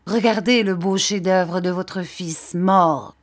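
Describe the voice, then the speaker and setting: A woman's voice: fairly low pitch, slow. A woman reading a storybook, voicing a bitter scarred woman in passionate fury, with imperious, accusing commands and reproaches. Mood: scornful fury. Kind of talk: dramatic audiobook acting of a classic novel.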